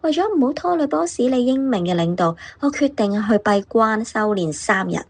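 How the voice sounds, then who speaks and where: A woman plays a worldly senior female colleague in a workplace radio drama. Her fairly high voice swoops expressively as she coaches, knowing and coaxing, leaning theatrically on key words. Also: animated, normal speed. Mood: teasing, coaching. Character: savvy older female colleague, slyly coaching a junior.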